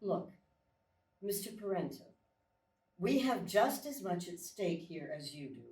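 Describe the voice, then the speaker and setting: An actress in a live script reading playing a stern, unflinching colonel with a firm, curt, commanding manner. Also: female voice, on the low side, big pitch swings, measured pace, a little mellow. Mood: cold, defiant. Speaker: actor in a live screenplay table read. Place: small live theatre stage. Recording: distant, echoey live theatre recording.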